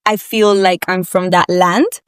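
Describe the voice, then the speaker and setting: A woman's voice: fairly low pitch, fairly even intonation, a little slow, clear, resonant tone, no echo, studio quality. A woman from Spain who partly grew up in England, chatting casually and reflectively as a podcast guest. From Spain, with much of childhood in England. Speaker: podcast guest, actress and yoga instructor. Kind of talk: casual conversation.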